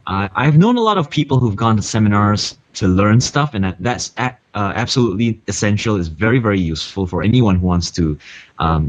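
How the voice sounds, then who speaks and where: A man co-hosting a seminar promo over a video call, speaking hesitantly with many "uh"s and "um"s. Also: lowish voice. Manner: hesitant, heavy on "uh" and "um". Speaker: seminar co-host.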